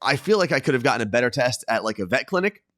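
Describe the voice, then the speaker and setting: Man, laid-back, hesitant, casual co-host, mid pitch, dry sound. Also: sing-song, racing, fairly bright tone, no room sound. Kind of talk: casual chat. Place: staying in Florida.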